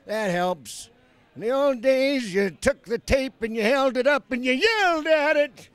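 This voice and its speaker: A man voicing a crotchety old-timer who drawls, then rises to a shout, as a joke in an interview. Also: expressive, clear, resonant tone, dry recording, exaggerated voice. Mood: mock-grumpy. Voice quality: high, drawn-out and shouty. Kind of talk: comic bit in an interview.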